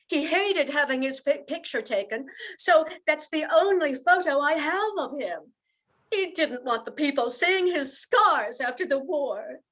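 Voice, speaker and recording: A woman's voice: high voice, a bit bright. A woman playing an earnest young widowed mother in an amateur radio play, with heartfelt, theatrical delivery. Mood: defensive.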